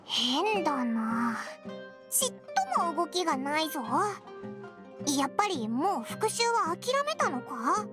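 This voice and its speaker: Tiny squeaky fairy buddy, curious, boyish talk, bouncy, music behind. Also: female voice, very animated, takes it slowly, exaggerated voice. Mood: puzzled. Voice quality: squeaky, childlike. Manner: casual boyish speech. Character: small childlike fairy companion, chatty and curious. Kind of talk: anime game voice acting. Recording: background music under the voice.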